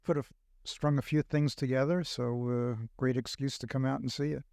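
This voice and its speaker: An older man with a Brooklyn accent speaks slowly and reflectively, trailing off and restarting as he works through his thoughts. His voice sits at a middling pitch. The close studio mic keeps the sound dry and intimate. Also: level tone, creaky, darkish tone, dry recording. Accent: Brooklyn New York. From Brooklyn, New York. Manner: reflective, halting with many fillers. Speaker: guest. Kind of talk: casual podcast conversation. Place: recording studio.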